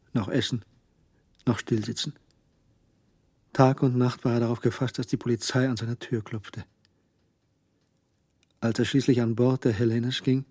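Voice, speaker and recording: Mellow man narrating a suspense radio play. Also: medium pitch, breathy edge.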